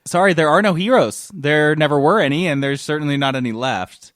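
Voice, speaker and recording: A man with a somewhat high voice hosts a casual gaming news podcast. His manner is wry and playful, given to mock quotes in jokey chat. He sounds close and dry, free of room echo. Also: fairly quick, a bit bright. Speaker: podcast host.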